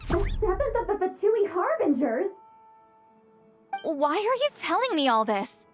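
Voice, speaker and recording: A woman's voice: very high voice, very animated, unhurried, soft background music, heavily acted. A woman voicing a proud, impatient self-styled granny shaman with a girlish, indignant, dramatic delivery in a video game. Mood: bewildered.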